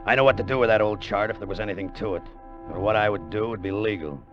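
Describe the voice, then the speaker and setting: A lowish-voiced, brash gambler and treasure hunter, acted by a man, talks in insistent tough-guy slang. It is hard-boiled 1950s radio acting, on a dull old recording with prominent music. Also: fast, lightly acted. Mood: wry, hard-boiled. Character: Danny Quinn, brash, pushy gambler and ex-sailor turned treasure hunter.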